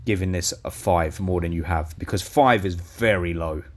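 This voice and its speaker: A young man co-hosting a casual film review podcast, blunt and teasing, swearing freely and a little hoarse. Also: sits low, moderate pace. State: losing his voice. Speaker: podcast co-host.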